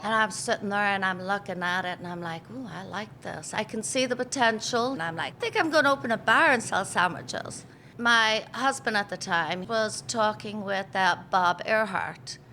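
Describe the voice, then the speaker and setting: Chatty Irish woman, bright voice. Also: medium pitch, normal speed, a little hiss or hum. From Ireland. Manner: chatty storyteller, laughs easily, acts out quotes. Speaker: pub owner, interviewee. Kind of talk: casual interview chat.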